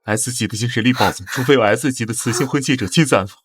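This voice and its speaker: A man voicing an earnest, wounded young consort in an animated drama, formal and full of doubt. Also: mid-range voice, fast, breathy edge, no room sound, studio quality, lightly acted. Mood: urgent. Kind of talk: animated short-drama dubbing.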